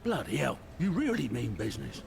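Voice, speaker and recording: This is a brash, shifty bar owner in a sci-fi game. He bargains and wheedles with animated intonation at a middle pitch. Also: brisk, gravelly, slight background noise, exaggerated voice. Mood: surprised, relieved. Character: shifty, bargaining male space barkeeper in debt.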